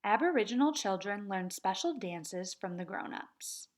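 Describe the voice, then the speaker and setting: A woman kindergarten teacher reading aloud to young children at a very unhurried pace. She draws out words in a patient, sing-song chant so the class can repeat after her. Her voice sits at an ordinary middle pitch. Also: animated.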